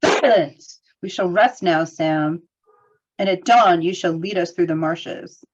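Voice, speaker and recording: A woman's voice: lowish voice, takes it slowly, dull audio, a little hiss or hum. A woman playing a stern, commanding male hobbit in a table read of a fantasy script. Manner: firm, commanding.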